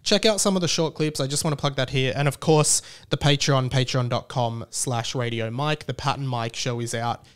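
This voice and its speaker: A man's voice: medium pitch, fairly even intonation, moderate pace, hardly any pauses, clear, resonant tone. Male podcast host, mid-range voice, informal solo chat, loose and rambling delivery.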